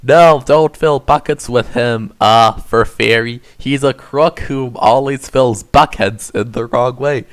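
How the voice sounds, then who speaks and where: A man voicing a timid but earnest young male troll, halting and stammering, in a comedic story reading. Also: medium pitch, clean tone, lightly acted. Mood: pleading. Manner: halting, filled with "uh", protesting. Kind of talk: comedic dramatic reading of fan fiction.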